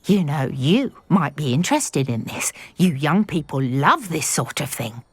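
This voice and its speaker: Chatty, animated genteel older widow. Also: female voice, low-pitched, unhurried, slightly rough, dry recording, heavily acted. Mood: conspiratorial, eager. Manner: genteel, wordy, formal. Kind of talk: video game dialogue acting.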